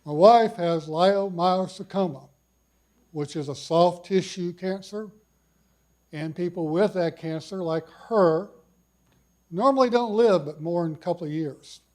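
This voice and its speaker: A man's voice: sits high, a little slow, darkish tone. Older man with a big voice. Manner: folksy, self-deprecating, reads from notes. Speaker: cancer survivor speaker. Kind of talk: personal story talk. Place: conference hall.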